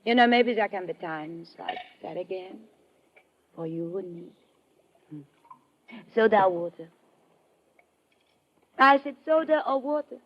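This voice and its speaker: An actress playing an affectionate, coaxing femme fatale, intimate and theatrical, in an old-time radio drama. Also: female voice, medium pitch, animated, a little slow, pauses often. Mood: seductive, coaxing. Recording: dull vintage radio recording.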